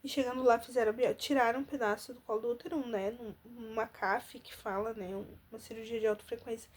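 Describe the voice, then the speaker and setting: A woman's voice: a little high, fairly even intonation, fast. A woman in her thirties, nasal from congestion, earnestly telling her own story in a personal vlog with frequent restarts. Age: 30s. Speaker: vlogger.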